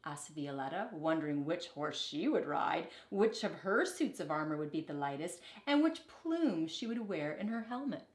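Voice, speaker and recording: A woman reading a children's picture book aloud in an expressive, deliberate storytelling manner. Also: low voice. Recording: echoey, roomy sound.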